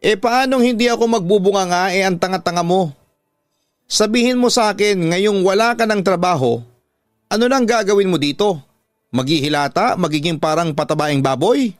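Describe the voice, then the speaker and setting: Radio drama role, male narrator acting: exasperated scolding father, higher-pitched, music behind. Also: moderate pace, no echo, close to an everyday voice. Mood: angry, mocking. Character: angry nagging Filipino father scolding his daughter.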